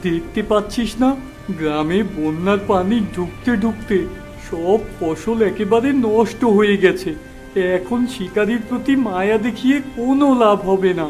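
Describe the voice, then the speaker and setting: A man's voice: high-pitched, normal speed, darkish tone, faint music behind, lightly acted. A man playing a stern, commanding figure in a cartoon fairy tale, speaking emphatically. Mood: scolding. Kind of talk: animated fairy tale character acting.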